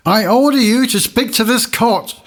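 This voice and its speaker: An actor playing a pompous, stern and obtuse judge of a village manor court in a comic audio drama. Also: male voice, very high voice, normal speed. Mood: indignant, pompous.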